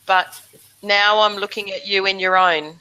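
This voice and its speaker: An Australian woman thinking aloud on a video call, full of quick exclamations. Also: medium pitch, fairly even intonation, bright, forceful tone, smooth, low-quality recording. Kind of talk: casual chat.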